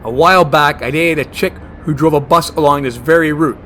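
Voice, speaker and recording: A man's voice: a little high, fairly quick, fairly bright tone, a little hiss or hum, lightly acted. A man acting a fussy, stubborn monster hunter in a comedy horror audio drama, earnest and argumentative. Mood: insistent. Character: fussy germ-phobic monster hunter, male, stubborn and smooth-talking.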